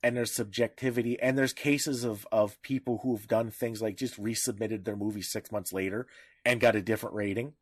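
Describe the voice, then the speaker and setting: A man's voice: fairly low pitch, steady tone, fairly quick, no room sound. Blunt, irreverent man in a dry recording. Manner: blunt, irreverent, freely profane. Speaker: podcast co-host. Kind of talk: casual podcast discussion.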